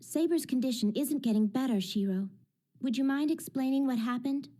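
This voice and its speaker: A woman playing a sharp, pragmatic teenage girl in an English anime dub, explaining in a crisp, self-assured, matter-of-fact way. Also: sits high, normal speed. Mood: cool, probing. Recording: loud music bed under dialogue.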